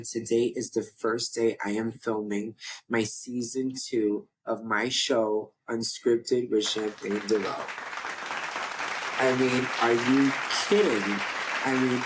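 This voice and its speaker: A talkative man with a deep voice, originally from New York, chatting straight to his viewers in a loose, meandering way, doubling back on himself as he goes. His pitch sits mid-range for a man, with a faint hum of room ambience behind him. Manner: chatty, rambling, self-interrupting. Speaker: host of his own online show. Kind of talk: casual talking-to-camera update.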